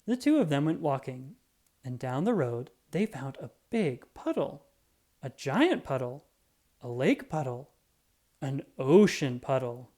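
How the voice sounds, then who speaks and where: A narrator reading a children's story aloud in an expressive, deliberate storytelling manner. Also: mid-range voice. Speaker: storybook reader.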